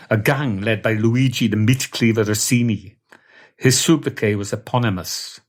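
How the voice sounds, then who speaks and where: A Welsh man telling a comic first-person story in a deadpan, wordy way. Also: medium pitch.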